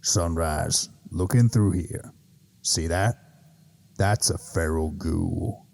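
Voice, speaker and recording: A man playing a jaded, fatherly griffin mentor in an audio drama, gravelly and patiently instructive. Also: low voice, slow pace, darkish tone, heavily acted. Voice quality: gravelly, husky.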